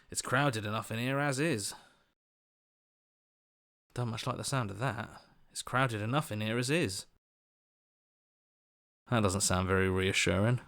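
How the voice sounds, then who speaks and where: An actor playing an anxious, earnest heroic inner voice in a video game, questioning things in a hesitant, worried way. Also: male voice, medium pitch, fairly bright tone. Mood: wary, doubtful, then uneasy. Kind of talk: video game character acting.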